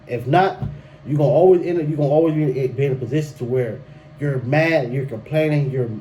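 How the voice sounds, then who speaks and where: A man's voice: mid-range voice, brisk, warm tone, rough recording. A young man, talking loosely and emphatically in a solo podcast monologue, often restarting and tossing in slang. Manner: loose, repetitive and emphatic, with frequent restarts and "bruh". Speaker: podcast host. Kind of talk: solo podcast monologue giving relationship advice. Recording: faint background noise.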